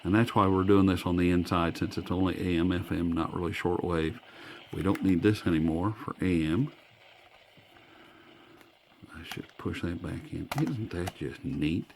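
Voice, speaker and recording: Male product reviewer, American, low register, chatty and enthusiastic, faint noise behind him. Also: fairly even intonation, moderate pace, gravelly. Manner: enthusiastic, folksy. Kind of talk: casual hands-on review talk. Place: indoors. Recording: faint background noise, indoor room.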